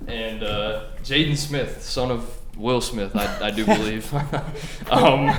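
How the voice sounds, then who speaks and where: A young man with a deep voice hosting a casual card game and announcing rounds with a relaxed, joking tone. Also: slow pace, reverberant, a crowd in the background. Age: young adult. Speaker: host running a card game. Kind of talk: casual game-show banter. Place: college studio.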